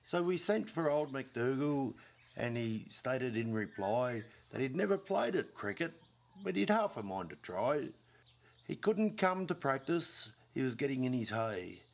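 Slow-paced man reciting verse. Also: mid-range voice, very animated, gravelly. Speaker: poetry reader. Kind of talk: dramatic recitation of a comic bush ballad.

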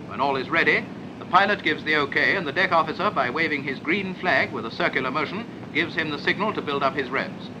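A man's voice: fairly high pitch, fairly quick. A British man reading a scripted 1940s instructional film narration in a clipped, explanatory manner. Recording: noisy 1940s film soundtrack.

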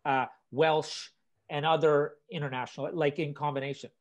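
A man hosting and moderating a live online interview, reading out audience questions in a relaxed, agreeable way. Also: on the high side, normal speed. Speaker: event host, moderator.

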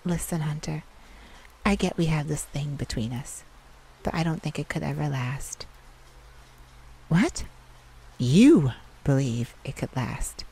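A woman playing a proud, wounded, caring male vampire in an intimate, emotional ASMR audio drama, with a husky, confessional delivery. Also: low-pitched, mellow, exaggerated voice, rough recording. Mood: tender, hesitant. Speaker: audio roleplay performer.

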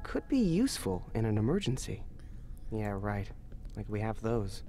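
A man's voice: on the low side, normal speed. A sarcastic teen boy stuck on a spaceship, bouncy voice, some game music behind. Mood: wry. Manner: sarcastic, deadpan asides. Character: restless teenage boy kept aboard a spaceship. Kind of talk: video game voice acting.

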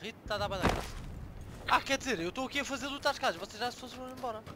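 A young man, chatting casually with friends while playing an online game, swinging between mumbled asides and loud outbursts. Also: very high-pitched, very fast, heavy background noise. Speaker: gamer filming his squad. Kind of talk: casual chat while gaming.

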